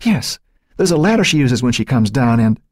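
A hurt, frightened teenage girl, played by a male narrator in a suspense audiobook. The voice is pitched well up, breathy and choked, pleading in halting phrases. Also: sing-song, a little mellow, no room sound, clean studio sound. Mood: shaken. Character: injured, terrified teenage girl, pleading and tearful. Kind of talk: audiobook character acting.

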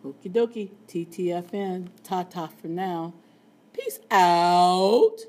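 A woman teaching a statistics lesson in a screencast, with a raspy voice and playful, chatty asides. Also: lowish voice, slow, a little mellow. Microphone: tablet screen recording.

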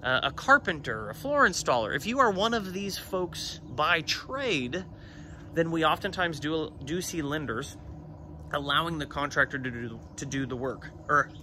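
A man in his thirties talks straight to a phone camera at arm's length, plain-spoken and matter-of-fact as he walks viewers through a loan question. His voice sits fairly high for a man. He is outdoors beside a brick building, with street and passing-car noise clearly heard behind him. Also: expressive, normal speed, sharp tone. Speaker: renovation loan consultant. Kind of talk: casual explainer to camera. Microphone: phone camera held at arm's length.

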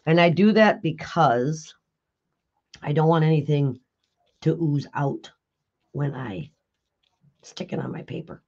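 A raspy, hoarse woman hosting a live craft tutorial, chatting with viewers and answering their comments while she works. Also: low-pitched, takes it slowly, pauses often, darkish tone, dull audio. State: hoarse after illness. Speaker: craft video host. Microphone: overhead camera on a craft table.

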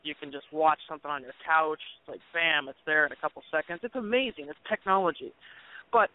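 A film director from Colorado, talking casually and joking in an interview with plenty of fillers. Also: male voice, fairly high pitch, lively intonation, fast, dry recording. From Colorado, USA. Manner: joking, talkative, thinks aloud with fillers. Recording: tinny phone line.

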